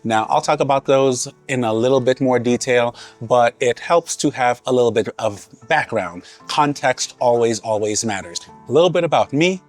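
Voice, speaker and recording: A man in his thirties, born in Haiti and raised in Massachusetts, talking to camera in an earnest, conversational, unhurried way. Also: mid-range voice, soft background music. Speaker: vlogger. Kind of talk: casual reflective talk to camera.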